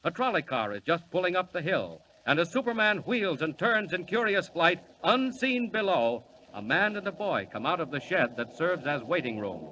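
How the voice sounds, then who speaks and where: A man's voice: a little high, big pitch swings, normal speed, few pauses, sharp tone. A man narrating a 1940s radio serial as announcer in a dramatic, declamatory way. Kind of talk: scripted narration. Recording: muffled old 1940s radio recording with hiss.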